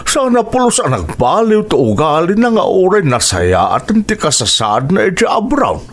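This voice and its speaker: An actor with an Ilocano accent playing an older, talkative village husband in a radio drama. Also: male voice, high-pitched, huge pitch swings, moderate pace, heavily acted. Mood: chatty.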